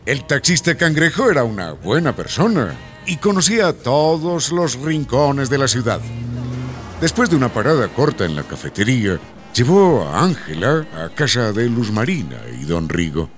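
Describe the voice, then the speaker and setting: An actor playing a storyteller who narrates a scripted radio drama. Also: male voice, mid-range voice, big pitch swings, normal speed, soft background music, natural voice. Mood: storytelling. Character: lively male storyteller narrator.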